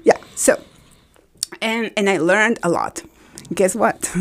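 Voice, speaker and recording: Colombian-accented woman telling lively stories. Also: medium pitch, slow pace. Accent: Colombian Spanish. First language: Spanish. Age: middle-aged. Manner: animated storytelling with frequent laughs. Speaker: podcast guest. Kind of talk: casual chat.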